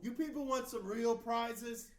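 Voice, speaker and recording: A man's voice: very high voice, fairly even intonation, unhurried, a little mellow, voice pushed up. Man putting on a cocky, swaggering rival DJ villain. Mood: loud boasting. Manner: taunting, swaggering. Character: cocky, sneering villainous male rival wedding DJ boss. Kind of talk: comedic screenplay table read. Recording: echoey room, distant mic, faint noise.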